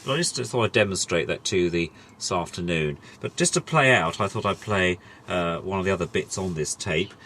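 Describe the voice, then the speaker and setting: A man's voice: low voice, lively intonation, normal speed, hardly any pauses, fairly bright tone, slight background noise. A middle-aged man chatting casually through a hobby demonstration, rambling and hesitant as he goes. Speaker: host demonstrating a vintage tape recorder.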